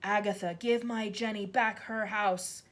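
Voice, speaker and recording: A woman voicing a ghostly man who speaks slowly and threateningly. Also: medium pitch, steady tone, fairly bright tone, lightly acted. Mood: menacing. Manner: slow, menacing. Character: ghostly man's voice, slow and threatening.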